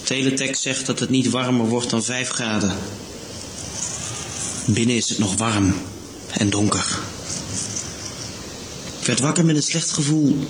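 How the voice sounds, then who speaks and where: A man's voice: mid-range voice, measured pace, pauses often. A man delivering a brooding first-person monologue in short, terse sentences, like an audio drama. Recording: roomy echo with clear noise.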